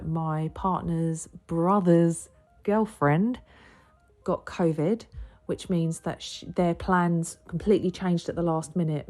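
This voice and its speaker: A woman hosting a solo podcast, croaky and a little bunged up from a cold, rambling with many ums and self-corrections. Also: low voice, mellow. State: croaky with a sore throat and blocked nose. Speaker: podcast host and astrologer. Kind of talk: casual solo podcast chat.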